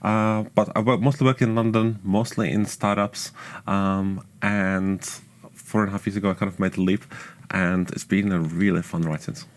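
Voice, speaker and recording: A man from Australia speaks in a lowish voice as an engineering manager on a panel. He talks in a chatty, meandering way, stopping to correct himself and filling pauses with small sounds. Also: fairly even intonation. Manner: chatty, self-correcting, many fillers. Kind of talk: panel discussion answers.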